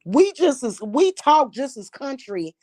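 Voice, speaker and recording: A woman's voice: high voice, very animated, unhurried, a little mellow, dry recording. A woman from Missouri with a Missouri accent, talking to her viewers in a blunt, scornful, mocking monologue. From Kansas City, Missouri. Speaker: spiritual practitioner and channel host.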